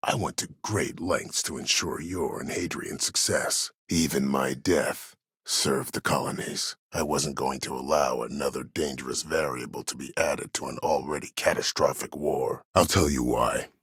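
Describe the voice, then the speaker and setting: Game villain: disgraced old military schemer, extremely low husky voice, coldly self-justifying, formal and imperious. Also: male voice, fairly even intonation, fairly bright tone, no echo, studio quality, full character voice. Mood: proud, calculating. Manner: measured, formal, imperious, calculating. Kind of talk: dramatic game dialogue.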